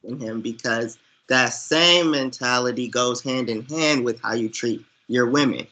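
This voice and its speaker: A man's voice: mid-range voice, a little slow, pushed and bright. A man giving earnest, opinionated film commentary as a co-host, speaking conversationally and with emphasis. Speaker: podcast co-host discussing films.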